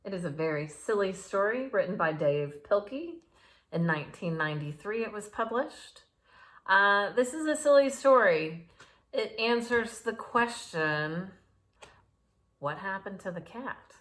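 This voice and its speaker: A woman's voice: medium pitch, sing-song, unhurried, a bit bright. A woman reading a children's story aloud dramatically, with chatty asides to her young listeners. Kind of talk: animated read-aloud storytelling.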